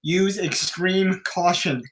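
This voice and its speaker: A man's voice: high-pitched, slow pace, a bit bright. A man acting a stern, cautious commanding overseer in a script table read.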